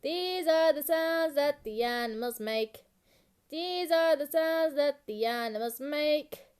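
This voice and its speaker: A woman delivering a children's animal-sounds rhyme in a simple, repetitive, deliberate sing-song. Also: high-pitched, darkish tone.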